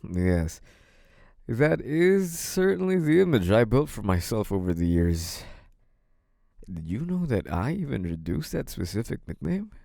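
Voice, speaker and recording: A man's voice: on the low side, gravelly, warm tone, dry recording, studio quality, exaggerated voice. A man playing a young mafia heir in an audio roleplay, a cunning schemer who wears politeness as a mask. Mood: smug, menacing. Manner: hesitant and apologetic act, turning smug and taunting. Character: young mafia heir, feigned naive politeness hiding cunning, possessive menace. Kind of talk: dramatic first-person audio roleplay.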